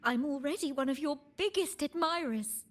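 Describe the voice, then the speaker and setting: Game role: adoring concert fan, female actor. High-pitched, effusive, swooning, breathless with admiration. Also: normal speed, a little hiss or hum, natural voice. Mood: awed, delighted. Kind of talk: expressive video game voice acting.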